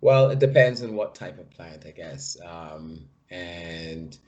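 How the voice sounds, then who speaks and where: A man originally from Guyana, answering interview questions about plants as a shaman guest. He talks in a slow-building, halting way, filling pauses with ums, and his voice sits very low. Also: lively intonation, a little mellow, lo-fi sound. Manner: hesitant, many fillers. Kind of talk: casual interview.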